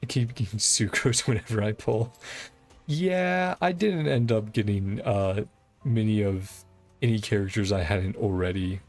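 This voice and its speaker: A game streamer chatting casually to viewers in a halting, informal way. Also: medium pitch. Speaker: streamer playing a game and reading dialogue aloud. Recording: background music.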